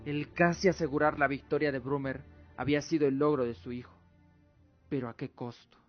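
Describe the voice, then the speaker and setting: A man's voice: medium pitch, fairly even intonation, brisk. A man narrating a scripted fantasy radio drama in a solemn storytelling manner. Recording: dull lo-fi audio with music behind. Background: music.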